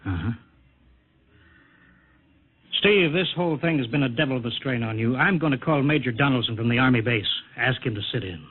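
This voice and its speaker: An actor playing a middle-aged, skeptical rocket base chief in a 1950s radio drama, brisk and no-nonsense. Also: male voice, medium pitch, expressive, slightly rough. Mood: doubtful, firm. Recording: muffled old 1950 radio broadcast recording.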